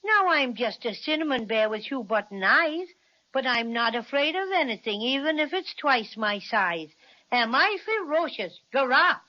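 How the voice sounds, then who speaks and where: A woman's voice: high-pitched, very animated, moderate pace. A woman voicing a small, boastful toy bear in a children's radio serial, plucky and folksy. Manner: plucky, folksy, self-important. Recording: dull lo-fi audio.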